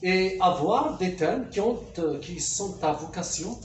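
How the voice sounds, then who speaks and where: A man's voice: high-pitched, lively intonation, a little slow. A man from Kabylia with an Algerian accent, a native Kabyle speaker, talking as an interviewed poet and explaining his points with repeated phrases. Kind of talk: conversational interview about writing. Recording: echoey room, distant mic, faint noise.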